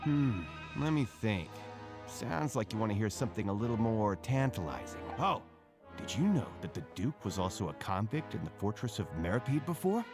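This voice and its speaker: A man's voice: fairly low pitch, very animated, moderate pace. A man voicing a friendly, chatty card-playing prison inmate in a video game, with a casual manner. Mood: gossipy. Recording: music behind the voice.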